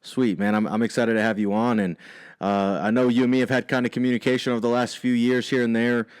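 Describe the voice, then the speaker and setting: A man's voice: medium pitch, little pitch movement, quick pace, clear, resonant tone. A man hosting a podcast, welcoming his guest in a friendly, rambling way with many fillers. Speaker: podcast host. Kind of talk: casual interview hosting.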